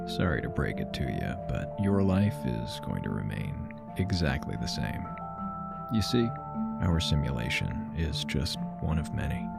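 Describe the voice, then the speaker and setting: A man's voice: rumbling low, gravelly, exaggerated voice. A man playing a wry, self-deprecating computer scientist, giving a dry, confessional monologue in an audio drama. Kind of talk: first-person fiction podcast monologue.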